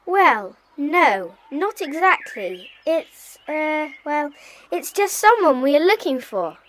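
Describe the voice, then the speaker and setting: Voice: high-pitched, sing-song, slow, fairly bright tone, a little hiss or hum, heavily acted. A performer playing an eager, inquisitive British child detective in an audio drama, speaking earnestly. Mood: curious.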